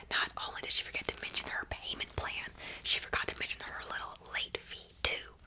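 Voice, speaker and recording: Lady acting as snooty sassy school girl with a grievance, dry, all whispered, asmr skit. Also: sharp tone. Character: haughty, wronged lawyer's daughter, sassy schoolgirl.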